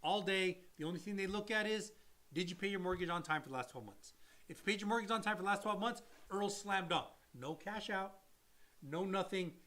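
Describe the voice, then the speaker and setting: A man's voice: high-pitched, a little fast, sharp tone. A man who works as a mortgage loan officer, co-hosting a casual chat and explaining loans to viewers in an emphatic, blunt way and repeating his key points.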